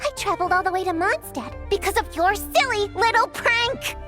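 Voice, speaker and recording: A woman's voice: very high voice, expressive, moderate pace. A woman voicing a proud, feisty young girl witch hunter in a video game, indignant and blustering. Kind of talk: video game cutscene acting. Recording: music behind the voice.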